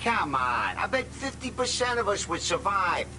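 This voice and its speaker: A woman playing a blunt, sardonic, unimpressed companion in a video game, with deadpan delivery. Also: mid-range voice, normal speed, noisy background, lightly acted. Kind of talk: video game character dialogue.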